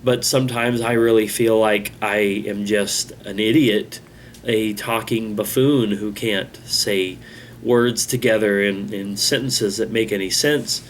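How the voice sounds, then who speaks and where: A man hosting his own channel, talking in a halting, rambling, self-critical way, with a congested voice. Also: fairly low pitch, steady tone, a little hiss or hum. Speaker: channel host. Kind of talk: casual vlog monologue.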